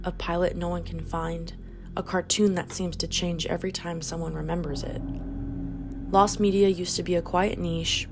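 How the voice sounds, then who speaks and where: Low-pitched, creaky-voiced woman narrating an essay. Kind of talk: scripted video essay narration. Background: music under the voice.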